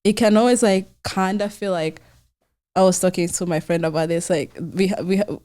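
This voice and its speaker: Young woman, lowish mellow voice, podcast host, chatty, informal, conversational. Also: fairly even intonation, moderate pace. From Rwanda. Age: young adult. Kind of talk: casual podcast interview.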